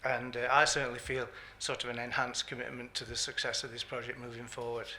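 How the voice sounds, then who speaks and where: A man's voice: mid-range voice, flat delivery, fairly quick, heavy background noise. A man speaking at a conference as a patient governance advisor, hesitant, with frequent ums and uhs. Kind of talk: conference talk. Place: conference hall.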